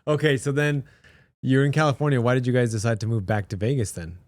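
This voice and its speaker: A man's voice: medium pitch, steady tone, a little mellow, no echo. Man hosting, dry close sound. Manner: encouraging, quick interjections of agreement. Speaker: podcast host, loan officer. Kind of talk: casual interview.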